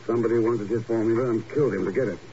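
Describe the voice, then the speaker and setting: An actor playing a cool, commanding secret agent in a 1950s radio drama, speaking in a clipped, deliberate way. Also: male voice, medium pitch, steady tone, mellow, close to an everyday voice. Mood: grave. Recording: muffled, hissy old radio recording.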